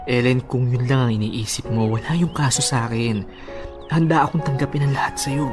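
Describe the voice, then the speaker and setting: A man's voice: medium pitch, fairly quick, natural voice. An actor playing a tender, insistent male suitor, coaxing and pleading in an emotional radio drama. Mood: tender, reassuring. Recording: music playing behind the voice.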